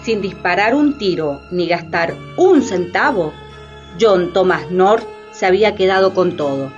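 A woman's voice: lowish voice, expressive, unhurried. A woman giving scripted, dramatic narration for a radio play. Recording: dull sound, faint music behind.

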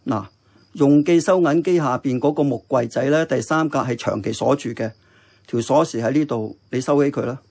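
A man playing an earnest, thoughtful uncle who runs a diner in a radio drama, with a Hong Kong accent and a measured, reflective manner. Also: mid-range voice, a little mellow, lightly acted. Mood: grave, confiding. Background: music.

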